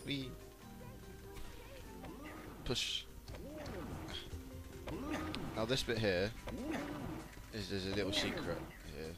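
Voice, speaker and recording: Male gameplay host: low, lively voice, casual and rambling, loud game music behind. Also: slow. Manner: playful, rambling. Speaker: gamer, video host. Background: video game music and voices.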